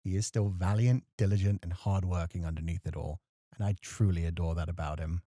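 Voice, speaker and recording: A man's voice: low-pitched, steady tone, a little fast, dry recording, clean studio sound. A man playing a gracious, teasing professor moonlighting as a club host in a flirty ASMR roleplay. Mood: fond, gracious. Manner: teasing, playful, softly courteous. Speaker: voice actor.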